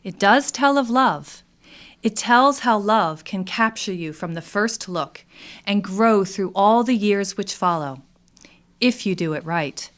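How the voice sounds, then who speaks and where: A woman's voice: mid-range voice, lively intonation, takes it slowly, fairly bright tone. A woman reading scripted narration as a presenter for a radio theatre troupe.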